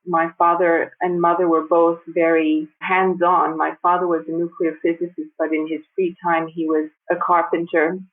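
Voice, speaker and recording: A woman in her fifties who grew up in the United States, speaking as a guest in a conversational interview, measured and thoughtful with light laughs. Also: on the low side, little pitch movement. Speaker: guest designer and architect. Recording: tinny phone-like remote line.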